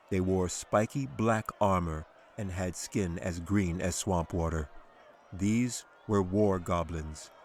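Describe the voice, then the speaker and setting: A man reads a fantasy audiobook in a low-pitched voice. His delivery is measured and dramatic, in the manner of scripted storytelling. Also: darkish tone. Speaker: author reading own audiobook.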